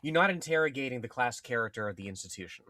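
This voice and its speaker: A man co-hosting a podcast, discussing politics analytically in halting, formally worded phrases with repeated words. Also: mid-range voice, lively intonation, bright, forceful tone.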